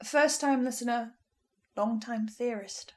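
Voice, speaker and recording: A woman playing an anxious, sardonic researcher and broadcaster, speaking in a halting, expressive, naturalistic audio-drama manner. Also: fairly high pitch, natural voice. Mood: subdued, confiding. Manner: halting, expressive, self-interrupting. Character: Edwin, anxious, sardonic researcher and broadcaster bound to fearsome powers. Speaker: podcast-style host reviewing a horror series.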